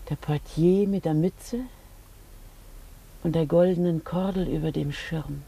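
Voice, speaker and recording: Casting: a haughty, nostalgic older grande dame, dark and creaky, formal radio-drama delivery. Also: female voice, low-pitched, heavily acted. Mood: composed, grand. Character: imperious, nostalgic lady, determined to relive former grandeur. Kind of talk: German radio play acting.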